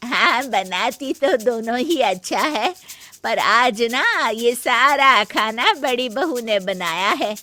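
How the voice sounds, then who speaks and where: A woman's voice: on the high side, expressive, takes it slowly, sharp tone, no room sound, heavily acted. A woman voicing a bossy older mother-in-law in a cartoon story, giving household orders in a matter-of-fact way.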